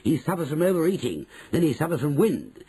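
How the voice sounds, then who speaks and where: A man voicing a prim, haughty middle-aged married woman with a shrill, scornful way of talking. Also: very animated, a little fast, warm tone, breathy edge, heavily acted. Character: prim, scornful, shrieking middle-aged English wife and mother.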